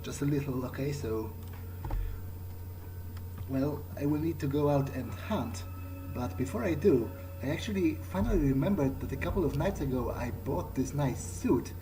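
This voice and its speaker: A man role-playing through a game in character, thinking aloud in a hesitant, halting, musing way. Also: mid-range voice, lively intonation, music in the background, poor audio. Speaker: gaming video player, role-playing in character.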